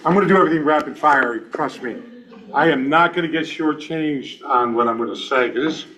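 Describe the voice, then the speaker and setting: Guy in his 60s doing a stage talk, bright tense voice, a bit off mic. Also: on the high side, far from the mic, slight background noise, low-quality recording. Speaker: event host and speaker. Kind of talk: lively stage talk to an audience.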